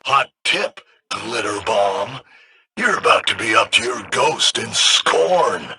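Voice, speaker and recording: A man voicing a shady, sarcastic schemer in a video game, wheedling and snide with a raspy, sharp voice. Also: fairly low pitch, expressive, slow, background noise. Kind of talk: video game character dialogue.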